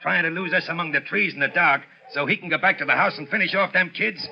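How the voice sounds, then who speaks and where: An actor playing a bossy, suspicious gang boss with a tough, domineering manner in 1930s radio drama. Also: male voice, on the high side, quick pace, gravelly. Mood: barking orders. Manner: barking, tough-guy, domineering. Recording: muffled 1930s radio recording.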